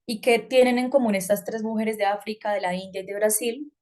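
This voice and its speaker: A woman from Colombia presents a talk in an easy, conversational way. She pauses often with fillers and restarts her sentences, and her pitch sits in the middle. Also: steady tone, darkish tone. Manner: conversational, hesitant with fillers, asks about slides. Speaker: speaker.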